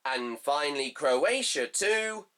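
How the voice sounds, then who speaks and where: Slow, sharp-toned, slightly hoarse man host. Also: on the high side, lively intonation. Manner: halting, heavy with fillers, reads off notes. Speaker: host of a fan football show. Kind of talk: reading results and previewing a match.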